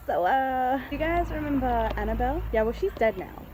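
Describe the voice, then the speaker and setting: A high-pitched woman chats casually as a book vlogger, retelling a story like an invested fan. Her talk is slangy and gushing, with dry sarcastic asides. It is amateur phone audio with faint noise behind her. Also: animated, normal speed. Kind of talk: casual reaction commentary, retelling a novel.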